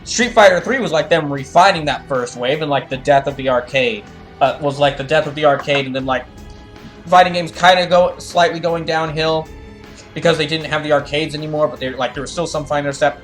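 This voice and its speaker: A man with a fairly high voice talks off the cuff as a game streamer. He rambles, circling back over his phrases while he reads chat and comments, with music playing clearly behind him. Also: a little fast. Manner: rambling, repeats phrases as thoughts form. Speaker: streamer doing live fandub of a game and reading chat. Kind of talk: casual gaming livestream chat and commentary.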